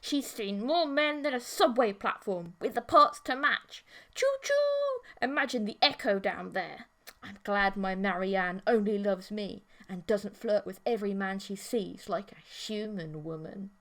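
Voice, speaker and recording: A woman's voice: medium pitch, expressive, measured pace, fairly bright tone. A woman voicing a bitter male forum poster in an audiobook, ranting with open contempt. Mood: sneering disgust. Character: bitter, sneering male forum poster obsessed with alien girlfriends. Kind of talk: audiobook character voice.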